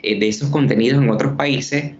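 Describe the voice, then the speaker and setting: A man's voice: medium pitch, fairly quick, highs cut off, a little hiss or hum. A man, a journalist and teacher, answering interview questions in an earnest, teacherly way with many fillers, heard over a video call. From Venezuela.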